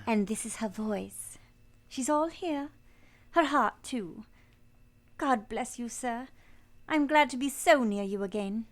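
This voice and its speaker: An actress playing a devoted, gentle, self-possessed young woman in a live period radio romance, speaking with tender, measured earnestness. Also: on the high side, animated. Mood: gentle, loving.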